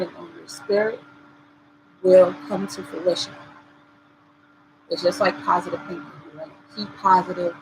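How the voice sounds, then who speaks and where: A woman with a cold-hoarsened voice hosting a motivational talk, speaking earnestly and encouragingly and repeating her key points. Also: mid-range voice, noisy background. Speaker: host. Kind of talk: motivational talk show monologue. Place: at home.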